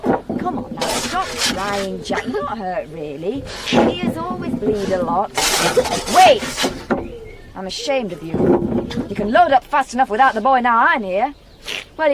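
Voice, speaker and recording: A woman playing a brisk, outspoken farmer's wife in a radio drama. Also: on the high side, big pitch swings, very noisy. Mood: firmly soothing, then indignant.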